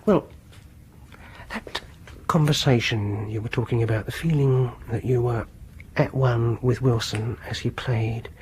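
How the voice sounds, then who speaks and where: A man's voice: mid-range voice, a little slow, darkish tone, lightly acted. An actor plays a solicitous British man who hosts music gatherings in a radio horror play. He is courteous but fussy and precise, often hesitating as he speaks. There is faint noise and clicking on the recording. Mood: hesitant, coaxing. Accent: British English. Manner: polite, hesitant, fussily precise. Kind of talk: radio horror drama acting.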